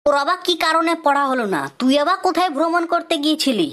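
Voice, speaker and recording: A woman's voice: high voice, lively intonation, fast. A woman voicing an exasperated, scolding male schoolteacher in a comic cartoon dub. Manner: loud, scolding, exclaiming questions.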